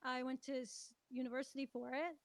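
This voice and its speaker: Female musician guest from Canada, high-pitched voice, casual chat, dry recording. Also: little pitch movement, a little fast, no echo.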